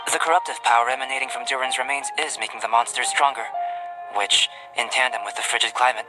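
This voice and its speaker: An actor playing a composed, scholarly young alchemist in a video game cutscene, measured, formal and politely reserved. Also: male voice, mid-range voice, steady tone, music in the background, close to an everyday voice. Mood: thoughtful, serious.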